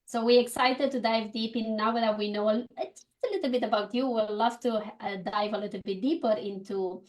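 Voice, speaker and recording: A woman's voice: on the high side, steady tone, brisk. A woman with a Romanian accent hosting a video-call networking circle, bubbly and repeating her words. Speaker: host of a networking event. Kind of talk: casual group introductions.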